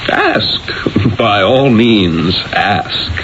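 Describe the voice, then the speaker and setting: An actor playing a proud, imperious Renaissance genius in a theatrical, self-assured 1970s radio drama manner. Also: male voice, on the low side, animated, drawn-out words. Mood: haughty, amused. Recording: dull vintage radio broadcast with music.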